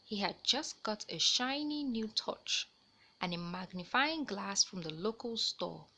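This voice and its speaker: A woman hosting a children's read-aloud, telling a storybook tale in an expressive, deliberate way. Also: mid-range voice, a little hiss or hum. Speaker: host reading stories aloud for children.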